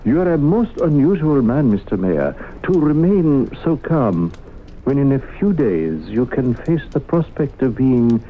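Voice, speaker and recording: An actor playing a grandiose, self-assured traveling professor, speaking pompously and formally in old-time radio drama style. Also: male voice, mid-range voice, sing-song, unhurried. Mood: smooth, persuasive. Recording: noisy, phone-like old radio broadcast.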